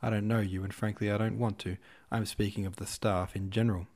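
A man's voice: on the low side, little pitch movement, fairly quick, darkish tone. A man reading the part of a hot-headed young hussar officer, defensive and bristling. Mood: angry.

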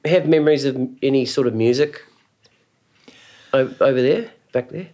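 A man's voice: mid-range voice, moderate pace, dark tone. A male host running a casual interview, who encourages his guest with frequent short acknowledgements.

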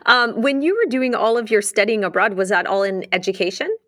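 A woman's voice: medium pitch, fairly quick, fairly bright tone. A woman hosting a casual interview, asking questions in an encouraging way. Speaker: interviewer, host.

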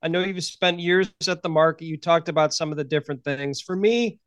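A man's voice: fairly high pitch, brisk, no room sound, studio quality. A man hosting a podcast in a casual, chatty style, asking questions and telling stories. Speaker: podcast host. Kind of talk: casual chat, interviewing and storytelling. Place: close, dry recording.

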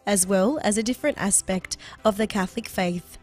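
A woman's voice: mid-range voice, normal speed, a little mellow, soft background music. A woman reading a scripted show introduction as an announcer. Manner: smooth read promo.

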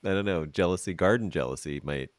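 A man's voice: low voice, quick pace, no room sound. A man hosting an interview podcast, chatting casually, teasing his guest and laughing often. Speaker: podcast host.

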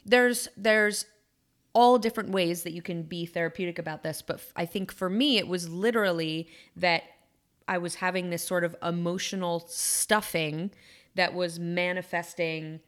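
Podcast co-host, woman, 30s, lower register, easygoing rambling delivery. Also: normal speed. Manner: musing, frequent fillers and self-corrections. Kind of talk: casual chat.

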